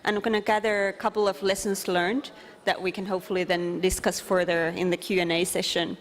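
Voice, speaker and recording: Woman, conference speaker, earnest, halting with fillers, mic in a hall. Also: lowish voice, level tone. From Finland. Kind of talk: presentation talk.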